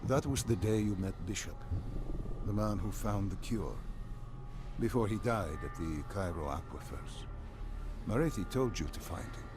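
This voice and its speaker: An actor playing a solemn, philosophical male guide in a video game, probing and insistent, with formal, deliberate delivery. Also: lowish voice, music in the background, natural voice. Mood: quiet, grave. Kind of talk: dramatic scripted game dialogue.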